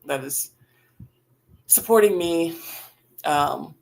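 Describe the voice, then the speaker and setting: A woman with a raspy voice talking casually and reflectively on a livestream while grieving, in halting phrases that sometimes trail off. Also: low voice, darkish tone. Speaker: livestream host. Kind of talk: casual, reflective livestream talk about faith and grief.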